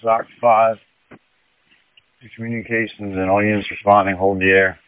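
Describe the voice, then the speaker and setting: A male fire rescue responder giving deliberate, emphatic reports over an emergency two-way radio. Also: lowish voice, little pitch movement, a little hiss or hum. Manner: deliberate, repeats key warnings. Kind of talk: emergency radio dispatch traffic. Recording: narrow tinny two-way radio transmission.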